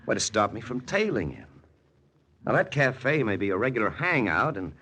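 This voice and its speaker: An actor playing a hardboiled, wry lieutenant in a 1940s radio spy drama, talking in a terse, deadpan way. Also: male voice, lowish voice, normal speed, slight background noise, exaggerated voice. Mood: insistent, curt. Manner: terse, deadpan first-person narration. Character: hardboiled American lieutenant, wry, jealous, narrating his own spy story. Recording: old radio recording with music underneath.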